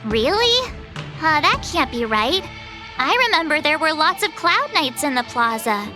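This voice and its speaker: Plucky young game girl, casual and hesitant, unhurried pace, lively squeaky-high delivery. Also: over music. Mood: confused. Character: perky, girlish young woman, plucky and easily flustered. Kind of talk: scripted video game voice acting.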